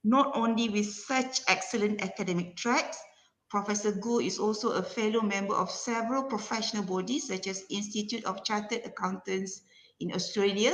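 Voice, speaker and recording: A woman with a Malaysian accent reading a formal speaker introduction as event moderator, halting and careful, stumbling over the odd word. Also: mid-range voice, a bit bright. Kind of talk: formal scripted introduction read aloud. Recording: echoey room sound.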